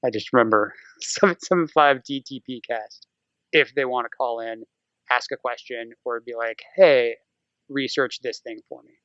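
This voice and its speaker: A man's voice: mid-range voice, moderate pace, fairly bright tone, clean tone, no room sound, dull audio. A man speaking casually as a podcast guest, telling stories in a rambling, jokey way. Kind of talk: casual conversational interview.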